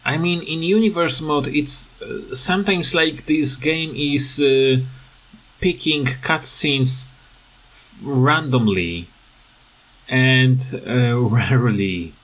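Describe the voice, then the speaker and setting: A man giving casual, unscripted commentary on a video game, hesitant and halting as he searches for words and often stammers. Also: medium pitch, a bit bright. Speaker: gaming video creator.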